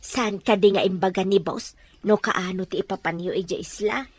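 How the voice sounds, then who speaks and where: A woman's voice: mid-range voice, moderate pace, darkish tone, no echo, close to an everyday voice. A woman playing a concerned, indignant older household helper in an Ilocano radio drama.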